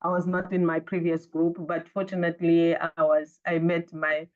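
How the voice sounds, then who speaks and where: A woman whose first language is Portuguese shares her thoughts on a video call. She speaks in a halting way, with frequent fillers and restarts. Her audio comes through slightly dull and muffled. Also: fairly low pitch, level tone. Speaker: fellow sharing in a group call. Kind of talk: casual group discussion.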